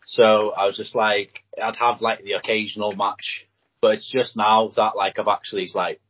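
A young Welsh man, Welsh his first language, talking loosely about his wrestling with plenty of "like" and "um". His voice sits a little low and sounds husky. The audio is dull and lo-fi. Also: moderate pace. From Anglesey, Wales. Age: young adult. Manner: relaxed, lots of fillers and "like". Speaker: guest wrestler. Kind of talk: casual interview chat.

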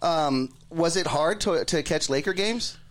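A man's voice: on the high side, lively intonation, unhurried, fairly bright tone. A man hosting a casual sports podcast, effusive and enthusiastic as he welcomes and interviews a guest. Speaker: podcast host.

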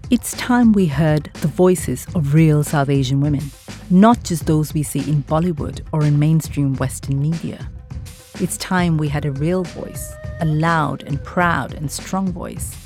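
A woman's voice: deep voice, a little slow, darkish tone, faint music behind. A woman hosting a podcast, reading a scripted intro and then asking interview questions with enthusiasm. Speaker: podcast host.

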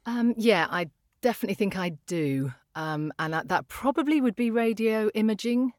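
A woman from the UK, a voiceover artist, talking in a chatty, casual way with a low voice, laughing often and restarting her sentences. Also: lively intonation, moderate pace, darkish tone, no echo, studio quality. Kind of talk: casual interview chat.

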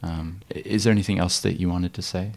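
A man hosting a podcast interview, asking questions in a relaxed way and often murmuring agreement. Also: low-pitched, fast talker, creaky. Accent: mixed, not typical New Zealand. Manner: affirming, frequent murmured agreement. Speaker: podcast host. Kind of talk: casual interview conversation.

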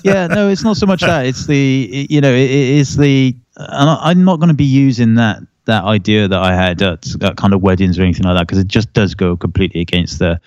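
A middle-aged man and wedding photographer co-hosting a casual podcast, talking in a relaxed, rambling way with lots of fillers and restarts. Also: medium pitch, a little fast, few pauses, no echo, highs cut off. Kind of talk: casual chat. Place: home office.

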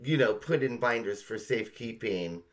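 A woman's voice: a bass voice, expressive, normal speed, a loud crowd. A middle-aged woman co-hosting a podcast, talking casually and emphatically with a friend, with some swearing. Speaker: podcast co-host. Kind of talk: casual chat between friends.

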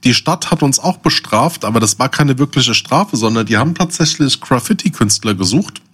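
A man co-hosting a podcast in a casual chat. He talks in everyday slang and tells his anecdotes with a jokey touch, at an ordinary medium pitch. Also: animated, moderate pace, a bit bright, husky. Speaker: podcast co-host.

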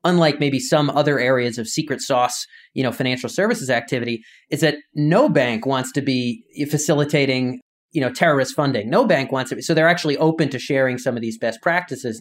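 Male host, conversational, stumbling starts, mid pitch. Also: a little fast. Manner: conversational, repeats words as he starts. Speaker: podcast host. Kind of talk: interview hosting.

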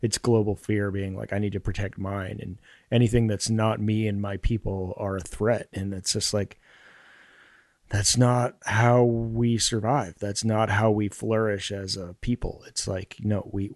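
A man's voice: fairly low pitch, darkish tone, no room sound, clean studio sound. A man speaking as a podcast panelist in a casual, conversational review discussion.

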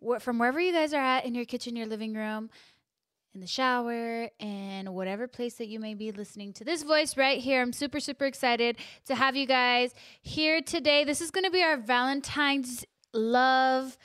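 A woman's voice: a little high, moderate pace, a bit bright, clean tone, no echo, studio quality. A woman in her twenties hosting a podcast, bubbly and giggly in casual chat. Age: 20s. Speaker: podcast host.

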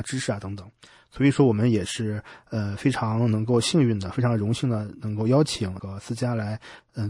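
A man's voice: mid-range voice, darkish tone, breathy edge, no room sound. A man hosting a podcast in a casual, conversational style, often hesitating and rephrasing as he goes. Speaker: podcast host.

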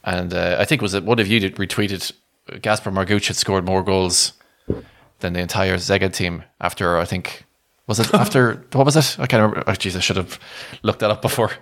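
A man's voice: sits low, fairly even intonation, a little fast, fairly bright tone. A man co-hosting a sports podcast, casually recounting matches in a conversational way. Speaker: podcast co-host and match reporter.

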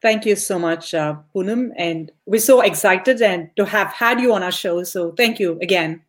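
A woman's voice: mid-range voice, normal speed. A young woman hosting a conversational podcast interview. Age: young adult. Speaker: podcast host.

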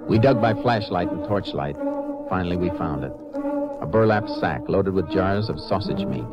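Very deep-voiced American lawman, old radio. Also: lively intonation, normal speed, over loud music. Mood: grave narration. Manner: terse, firm, folksy. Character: stern, steady male Texas Ranger lawman. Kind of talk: 1950s radio western acting and narration. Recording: muffled old radio recording.

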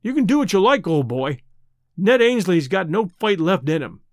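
Brief: man putting on a rough whining drifter, high and lively, dry mic. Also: moderate pace, dry recording. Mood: surly. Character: surly down-on-luck man faking a rough persona.

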